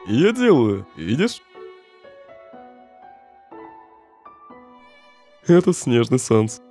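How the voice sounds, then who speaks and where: A man's voice: mid-range voice, very animated, slow, dark tone, soft background music. A man voicing a laid-back, weary skeleton big brother in a comic dub, joking, secretive and casual in his slangy talk. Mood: amused, easygoing. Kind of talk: comic dub voice acting with narration.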